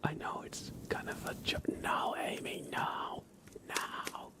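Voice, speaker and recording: A man hosting an ASMR livestream, whispering softly into a close microphone as he chats casually to viewers and comments on a race. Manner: soft whispering, unhurried chat to viewers. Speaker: livestream host. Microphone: close sensitive microphone.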